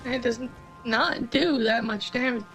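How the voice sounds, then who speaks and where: A woman's voice: fairly high pitch, moderate pace, over music, rough recording. A woman streamer chatting casually as she plays, explaining game mechanics with frequent restarts. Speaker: gaming streamer. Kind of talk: casual gameplay commentary.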